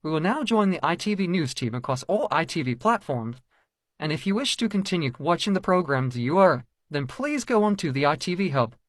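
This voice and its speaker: A man's voice: on the high side, sing-song, fairly quick, a bit bright, no room sound, studio quality. A man playing a TV continuity announcer, formally and apologetically interrupting with grave news. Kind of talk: amateur mock emergency broadcast announcement.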